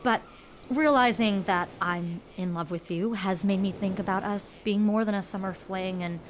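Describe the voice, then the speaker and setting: An actress playing an earnest, dry-witted young woman with a gentle, measured manner in a naturalistic audio drama. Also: medium pitch, slight background noise, natural voice. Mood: tender, regretful. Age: young adult.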